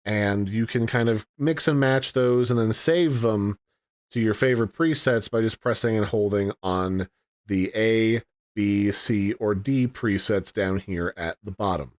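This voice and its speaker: A man's voice: on the low side, unhurried. A man giving a casual, chatty product review and walkthrough, explaining things in a folksy way. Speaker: tech reviewer.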